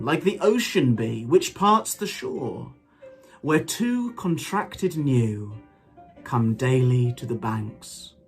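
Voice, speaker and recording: A man giving a dramatic recitation of old sonnets in a measured, theatrical way with deliberate pauses. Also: medium pitch. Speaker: poetry performer. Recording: music behind the voice. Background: music.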